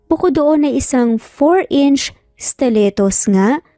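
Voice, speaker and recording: A woman's voice: high voice, expressive, takes it slowly, warm tone, no echo, clean studio sound, soft background music. A Filipino woman narrating a scripted romance story.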